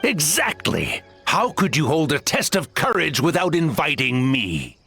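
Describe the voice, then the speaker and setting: An actor voicing a proud, grumpy old tanuki spirit in a video game, pompous and easily flustered. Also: male voice, fairly high pitch, very animated, measured pace, sharp tone, faint music behind, heavily acted. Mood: indignant. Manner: pompous, blustering. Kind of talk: animated video game voice acting.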